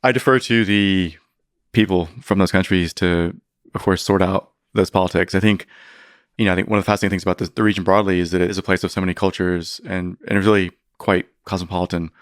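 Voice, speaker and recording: A man with a lowish, resonant voice speaks as a historian guest on a podcast. He answers thoughtfully, hedging and restarting often, recorded close and dry in a studio. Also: fairly even intonation, quick pace, dry recording. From United States. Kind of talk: podcast interview.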